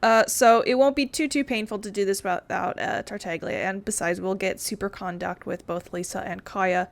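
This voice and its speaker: A woman's voice: mid-range voice, fairly even intonation, moderate pace, creaky. A woman hosting a gaming video with casual commentary, informal, playful and sweary.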